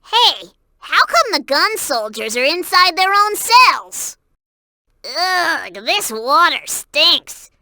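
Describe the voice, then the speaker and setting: A woman voicing an excitable, cute young creature sidekick in a video game, childlike and wide-eyed, exclaiming and giving hints. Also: very high-pitched, slow, no echo, studio quality, exaggerated voice. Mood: childish wonder.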